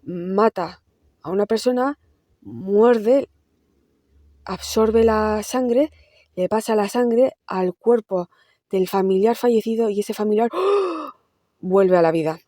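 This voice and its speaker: A woman's voice: mid-range voice, lively intonation, slow pace, pauses often, warm tone, no echo, clean studio sound. A woman explaining a film plot to her viewers in a casual, conversational way. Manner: explanatory, talks directly to viewers with frequent restarts. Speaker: film reviewer. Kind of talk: casual film analysis to camera.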